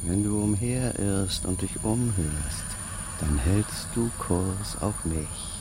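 An actor playing evil incarnate in a German radio play, a taunting spirit who speaks in a solemn, insinuating, menacing way. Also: male voice, deep voice, slow pace, noisy background.

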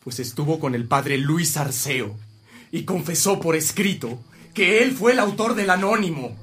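A man's voice: on the high side, expressive, unhurried, a bit bright, soft background music. A man playing an earnest friend of a priest in a radio drama, conversational, with a Mexican accent. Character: earnest male friend bringing news to a priest.

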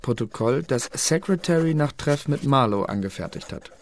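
An actor in a radio play playing a fussy secret service archivist, announcing documents in a pedantic singsong. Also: male voice, mid-range voice, animated, moderate pace, background noise, close to an everyday voice.

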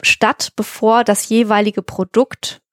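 A woman's voice: fairly high pitch, flat delivery, takes it slowly, dry recording, clean studio sound. A woman co-hosting a podcast, explaining things in a conversational way. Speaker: podcast co-host.

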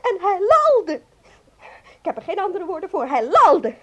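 Radio drama secretary: very high, animated, tearful, prim and accusing. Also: female voice, exaggerated voice. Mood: outraged, distressed. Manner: agitated, halting, repeating words in outrage. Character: distraught, prim young female office secretary, indignant and accusing. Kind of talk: Dutch radio play acting.

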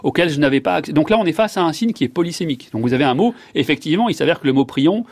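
A man's voice: a little high, expressive, very fast, darkish tone, no room sound. A man in his fifties, a neurologist guest, giving long reflective answers with many fillers and restarts. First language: French.

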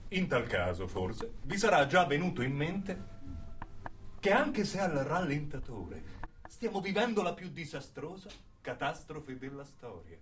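Brooding male revolutionary, distant and animated. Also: fairly high pitch, a little slow. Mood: somber. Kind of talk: theatrical radio monologue, direct address.

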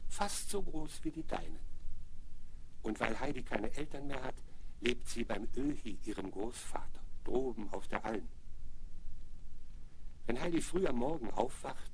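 A man narrating a scripted children's story gently, as if speaking to a child. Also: medium pitch, expressive, a little slow, long pauses. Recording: faintly noisy 1970s vinyl record.

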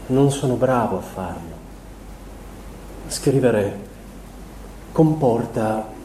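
An earnest, tormented man confessing himself in a stage monologue. The delivery is very deliberate and slow, with weighty pauses. It is heard from a distance in a roomy space, with faint noise. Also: medium pitch, mellow. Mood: pained, hesitant.

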